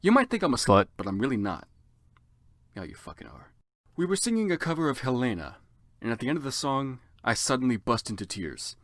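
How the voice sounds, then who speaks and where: A man's voice: medium pitch, sing-song, brisk, a bit bright, dry recording. A man narrating a story theatrically for comedy, with sardonic asides as commentator. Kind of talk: dramatic comic reading with dry asides.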